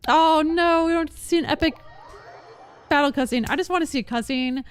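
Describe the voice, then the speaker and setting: A woman's voice: high-pitched, normal speed, a little hiss or hum. A woman livestreaming a video game, chatting casually and reacting in a giggly way. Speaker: game streamer. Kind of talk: casual livestream chat while playing. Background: game music.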